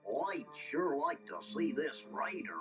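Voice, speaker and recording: Dopey, wildly swooping cartoon dog. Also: male voice, on the high side, big pitch swings. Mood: naive, puzzled. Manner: slow, naive, bumbling. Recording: muffled 1980s story tape, faint music.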